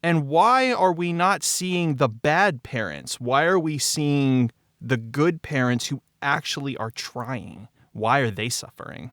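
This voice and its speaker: Male podcast guest, unhurried, wry, explanatory, dry close sound. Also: medium pitch, expressive, fairly bright tone, dry recording. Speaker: show writer and podcast guest. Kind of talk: casual explanatory discussion.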